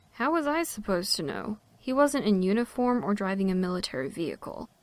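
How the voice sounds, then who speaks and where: A woman's voice: medium pitch, big pitch swings, moderate pace, no room sound, natural voice. A woman playing a wry, self-reliant park ranger in an audio drama, talking bluntly and casually.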